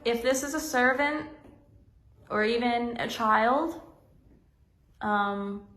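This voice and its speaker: A woman's voice: on the high side, takes it slowly, fairly bright tone. A young woman on a ghost hunt, coaxing and hesitant with many ums. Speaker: paranormal investigator. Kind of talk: casual ghost hunt chat. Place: old house at night. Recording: echoey room, noisy.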